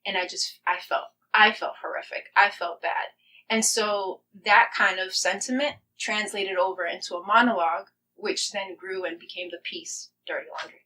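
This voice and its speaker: A woman's voice: medium pitch, fairly even intonation, normal speed, sharp tone, recorded from a distance, slight background noise, low-quality recording. A woman talking earnestly and reflectively as a guest in a podcast interview, stressing points by repeating them. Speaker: playwright guest.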